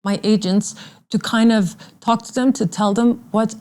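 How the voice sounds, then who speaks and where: A woman's voice: mid-range voice, fairly even intonation, normal speed, darkish tone. A woman in her thirties, originally from Iran, speaking candidly and with emphatic repetition as an interview guest. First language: Persian.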